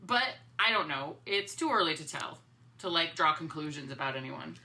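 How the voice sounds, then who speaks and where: A woman's voice: low-pitched, lively intonation, moderate pace, pushed and bright, full character voice. A woman playing a sarcastic, skeptical teenage schoolgirl in a dramatic comic reading, arguing drily. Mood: dry, skeptical.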